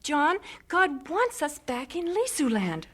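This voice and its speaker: A woman's voice: sits high, big pitch swings, takes it slowly, faint music behind, exaggerated voice. An actress playing an impatient, headstrong missionary wife and mother in a radio drama, urgent and emphatic. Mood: agitated, urgent.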